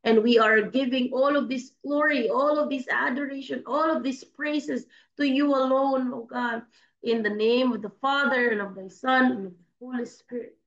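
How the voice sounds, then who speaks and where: A woman's voice: sits high, fairly even intonation, moderate pace. A woman with a Filipino accent, hosting an online prayer meeting, praying fervently and repeating her thanks while mixing English and Tagalog. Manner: fervent, repetitive, switching between English and Tagalog. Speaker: prayer leader and host. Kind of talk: spoken prayer and closing announcements. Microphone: video call. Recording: dull lo-fi call audio in an echoey room.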